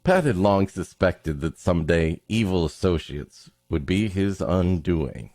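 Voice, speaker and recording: A deep-voiced man reads an old story for a comedy podcast. His delivery swings widely and slips into playful banter, recorded dry and close. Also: lively intonation, unhurried, darkish tone, no echo. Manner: joking, playful. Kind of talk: story reading with comic banter.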